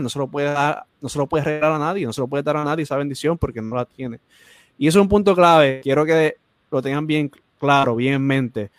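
Man, interview host, preachy, repeats himself, dry close sound, mid pitch. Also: dry recording. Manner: preachy, repeats phrases for emphasis.

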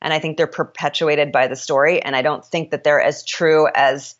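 A woman with a deep voice speaking as an interview guest, reflective and candid, sometimes halting as she works out her ideas. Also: fairly even intonation, muffled recording.

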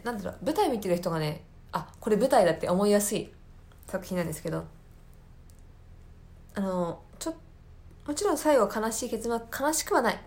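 A woman hosting a casual solo radio show, speaking earnestly and often pausing to search for words and rephrase. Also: mid-range voice, a little mellow. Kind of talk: casual monologue, recommending a favorite film to listeners. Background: background music.

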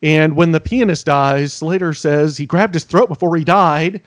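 Male co-host, high voice, dry sound, jokey and relaxed. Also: normal speed, no echo. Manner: laughs often, playful banter. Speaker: podcast co-host. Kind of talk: casual recap chat.

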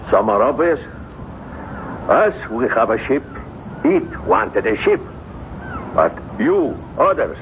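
A noisy, muffled old radio recording holds a captive ship's captain. He is fearful and fatalistic, speaking haltingly with foreboding and a very lively range of pitch. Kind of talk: 1970s radio drama acting.

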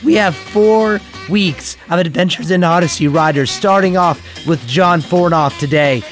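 A hoarse man opens his podcast in a loose, chatty way, laughing as he goes. His voice sits fairly high. Music plays in the background. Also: unhurried. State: hoarse from illness. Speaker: podcast host. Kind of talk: casual show intro.

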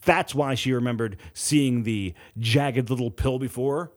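A man's voice: mid-range voice, a little slow. A man reading a novel aloud as scripted, dramatic audiobook narration.

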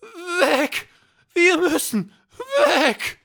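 Talking horse for kids story, high voice, super excitable and dramatic, drags words out. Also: male voice, extremely slow, vocal fry, no echo, studio quality, exaggerated voice. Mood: panicked. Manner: exclamatory, urgent, dramatic. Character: excitable, reverent talking horse, humble straw gatherer. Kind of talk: children's bedtime story character acting.

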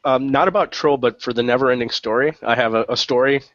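A man's voice: mid-range voice, fast talker, fairly bright tone, dry recording, highs cut off. A man on a film panel casually telling a personal story. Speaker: podcast panelist on films.

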